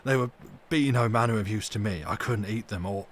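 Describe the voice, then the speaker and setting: A man's voice: lowish voice, fairly quick, a little hiss or hum, natural voice. A man voicing a cunning, superior asylum madman from a storybook, speaking in a pompous, emphatic way. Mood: fawning, agitated.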